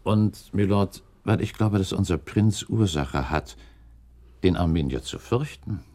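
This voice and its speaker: Radio play count: deep creaky voice, shrewd, suspicious, politely provocative. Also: male voice, animated, a little mellow, exaggerated voice. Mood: accusing, pointed. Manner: pointed, courteous, deliberate. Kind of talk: German radio drama acting, formal literary dialogue.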